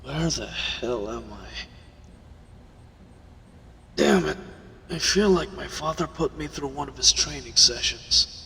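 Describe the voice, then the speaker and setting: A young werewolf hero, acted by a man for an audio roleplay, speaks in a gruff, brooding way. He is a defiant, wounded captive. The voice has a forceful edge at a middling pitch, with faint noise behind it. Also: slow pace, long pauses, long reverb tail, heavily acted. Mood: frustrated, dazed.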